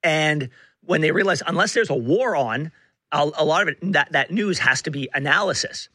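A male talk radio host with a bright, forward voice that is a little hoarse and rough around the edges. It sits fairly high and is recorded dry and close in a studio. He talks emphatically, often stopping to rephrase himself. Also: lively intonation, normal speed, no echo. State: hoarse, rough voice from being unwell. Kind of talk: talk radio monologue and banter. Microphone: close studio broadcast mic.

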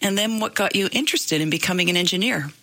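A woman hosting a radio interview in the studio, reading a measured introduction and asking questions. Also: fairly low pitch, bright, forceful tone, no echo. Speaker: radio host.

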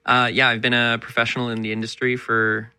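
A man in his thirties, a stage actor from Calgary, talking in a relaxed, modest way with many fillers. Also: mid-range voice, brisk, smooth, no echo, studio quality. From Calgary, Canada.

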